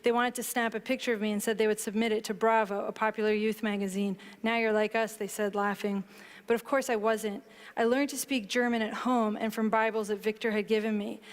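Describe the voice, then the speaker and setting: A woman in her thirties, a book author on a panel, explaining her work in a measured way and reading aloud from it on stage. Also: mid-range voice, flat delivery. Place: large hall stage before an audience. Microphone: headset mic. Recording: long hall reverb.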